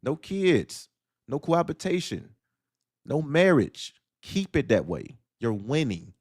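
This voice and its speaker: A man's voice: a little high, big pitch swings, slow pace, darkish tone, dry recording, clean studio sound. A man hosting a solo audio livestream, delivering a blunt, preachy monologue that fires off rhetorical questions. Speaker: livestream host.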